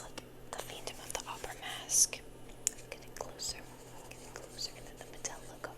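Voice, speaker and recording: A young woman whispering in a soft, breathy voice, rambling and repeating phrases as in an ASMR unboxing. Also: low-quality recording. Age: young adult. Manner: soft, repeats phrases slowly, chatty over-explaining. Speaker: ASMR content creator.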